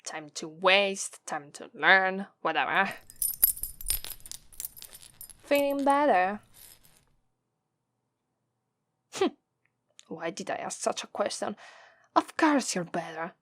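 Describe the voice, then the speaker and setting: Woman acting a slow, haughty Italian-accented demoness. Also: mid-range voice, lively intonation, fairly bright tone, no room sound. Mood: aloof, condescending. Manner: condescending, drawn-out, taunting delivery. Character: haughty, teasing, menacing female demon master. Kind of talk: ASMR roleplay acting.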